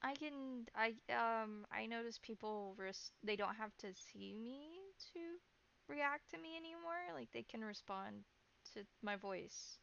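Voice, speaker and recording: Woman tabletop player, casual and hesitant improvised dialogue, fry in a forward, bright voice. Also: a little high, dull audio, slight background noise. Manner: halting, informal, conversational. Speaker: tabletop role-play player. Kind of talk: improvised in-character tabletop role-play chat.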